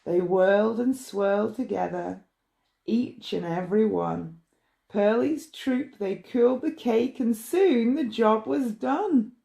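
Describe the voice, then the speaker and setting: A woman reading a rhyming bedtime story in a measured, expressive way. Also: on the high side, creaky. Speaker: storybook reader.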